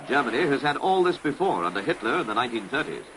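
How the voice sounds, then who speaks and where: British man narrating a 1960s newsreel, animated pitch. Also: quick pace, very noisy. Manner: formal, authoritative delivery. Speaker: documentary narrator. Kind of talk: 1960s public information film narration. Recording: vintage 1960s film soundtrack, music behind.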